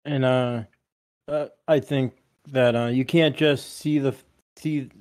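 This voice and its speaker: A man in his late twenties talks loosely on a podcast, circling back on his own sentences with fillers as he argues his point. His pitch sits in the middle of the male range. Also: steady tone, slow pace, a little mellow. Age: 20s. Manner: rambling, argumentative, many fillers. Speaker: podcast co-host. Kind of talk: casual chat.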